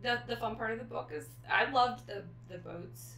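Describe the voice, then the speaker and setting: A woman's voice: fairly high pitch, moderate pace, creaky, sharp tone, slight background noise. A woman hosting a casual chat about books, speaking informally. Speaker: host.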